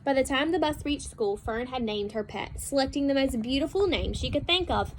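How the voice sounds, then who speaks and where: A woman's voice: high-pitched, expressive, fairly quick, rough recording. A woman reading a children's story aloud as a storybook narrator. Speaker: storybook reader. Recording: faint background noise.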